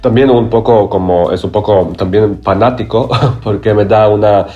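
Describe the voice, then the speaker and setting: Halting deep-voiced man. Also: steady tone, highs cut off, faint music behind. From Croatia. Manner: halting, searching for words in a second language. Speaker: playwright guest. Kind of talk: interview conversation.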